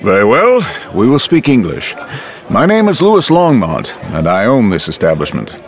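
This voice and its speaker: A man's voice: lowish voice, wildly swooping pitch, moderate pace. A man voicing a friendly saloon owner of birth, speaking English. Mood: genial. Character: friendly French-born saloon owner, genial.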